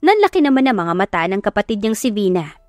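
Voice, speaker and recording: Female narrator, scripted romance audio drama, expressive, dry recording, soft background music. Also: mid-range voice, fast talker, no echo.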